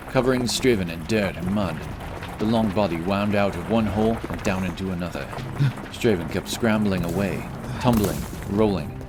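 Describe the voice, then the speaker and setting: A man reading scripted fantasy narration dramatically, with music playing behind him. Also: low voice.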